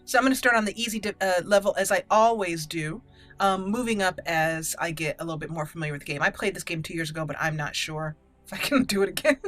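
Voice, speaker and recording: A woman's voice: fairly low pitch, fast talker, a bit bright, faint music behind. A woman giving casual game commentary in a chatty, explanatory manner. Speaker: gaming video host.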